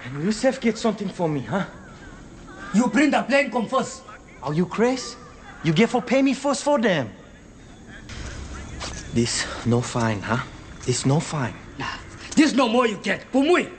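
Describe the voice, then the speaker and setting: A man's voice: high-pitched, big pitch swings, a little slow, long pauses, a little hiss or hum. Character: tough trader. Sex: male. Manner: blunt, haggling, broken English. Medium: film clip. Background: faint ambience.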